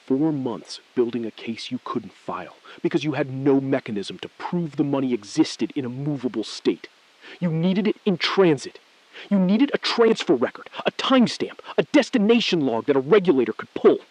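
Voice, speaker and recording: An actor playing a coolly complicit male bank insider in a scripted audio thriller, measured, evasive and precise. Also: mid-range voice, sing-song, darkish tone, dry recording, poor audio. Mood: coolly knowing.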